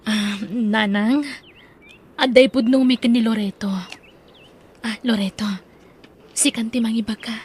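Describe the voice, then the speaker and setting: A woman's voice: fairly high pitch, steady tone, a little slow, pauses often, darkish tone, breathy edge. A woman playing an earnest, affectionate young sweetheart in a radio drama. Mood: earnest, hesitant.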